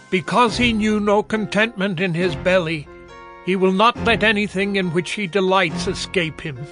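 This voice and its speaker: An actor playing an indignant, moralizing man who declaims censoriously in a dramatized scripture reading. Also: sits high. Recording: music bed behind the voice. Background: music.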